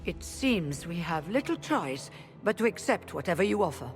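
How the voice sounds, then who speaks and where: An actress playing a dignified but anxious leader of mages in a video game, formal and pleading. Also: female voice, on the low side, sing-song, moderate pace, faint music behind. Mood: resigned. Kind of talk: video game cutscene acting.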